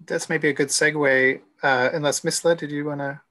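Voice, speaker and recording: A middle-aged man moderating a panel on a video call, talking haltingly with many fillers and restarts. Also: a little high, fairly even intonation, bright, forceful tone. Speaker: gallery moderator. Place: room at home. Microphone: video call webcam.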